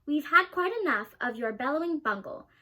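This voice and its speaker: A woman's voice: high-pitched, animated, normal speed, bright, forceful tone. A young woman voicing a bossy, scornful female kangaroo who snaps at everyone. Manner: snappish, scornful.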